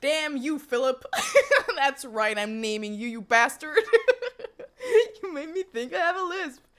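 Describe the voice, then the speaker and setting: A woman's voice: sits high, slow pace. A woman in her twenties chatting on a livestream from a home room into a desk condenser mic. She answers viewer chat casually and laughs often. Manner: giggly, laughs often, jokey and animated. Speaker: streamer. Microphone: USB condenser desk mic.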